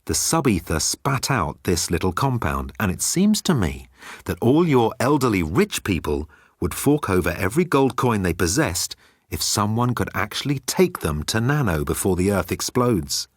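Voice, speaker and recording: Male narrator as a smug alien hustler, low voice, animated, scornful, condescending, audiobook sci-fi comedy. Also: normal speed, breathy edge, dry recording, studio quality. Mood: sly, persuasive. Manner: mocking, condescending. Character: cocky, sly alien swindler, scornful of humans.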